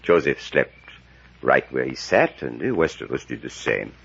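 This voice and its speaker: An actor playing a grave, secretive professor in 1940s radio drama style, speaking in a deliberate, dramatic way. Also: male voice, a bass voice, very animated, gravelly, a bit bright, breathy edge, heavily acted. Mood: haunted, dramatic. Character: grave, secretive male professor recounting horrors. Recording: dull vintage radio recording.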